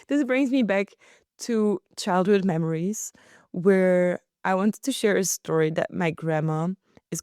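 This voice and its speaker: Relaxed Belgian woman podcasting from home. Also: lowish voice, smooth, no room sound, clean studio sound. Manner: relaxed, chatty, laughs easily. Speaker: podcast host. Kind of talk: casual solo podcast chat. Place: living room at home.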